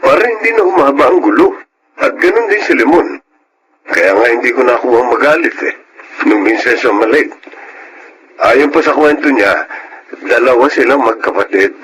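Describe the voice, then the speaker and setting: A Filipino actor playing a gentle, earnest, sickly and remorseful boss in a radio drama. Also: male voice, mid-range voice, lively intonation, normal speed, long pauses, natural voice. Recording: noisy radio drama recording.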